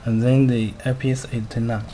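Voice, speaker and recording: A man who speaks English as a second language, giving a halting step-by-step product demonstration in short, simple phrases. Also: mid-range voice, level tone, warm tone. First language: not a native English speaker. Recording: clearly noisy amateur recording.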